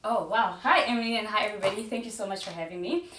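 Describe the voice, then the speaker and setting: A woman's voice: mid-range voice, rapid-fire, a bit bright. A woman co-hosting a podcast, talking in an enthusiastic, upbeat way. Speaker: podcast co-host. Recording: echoey room, distant mic, faint noise.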